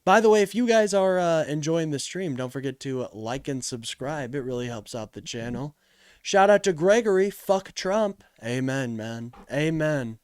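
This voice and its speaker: A man's voice: on the high side, lively intonation, normal speed, clean tone, no room sound, studio quality. A man hosting a live political call-in stream in a casual, joking way, with slang and swearing as he talks to his chat.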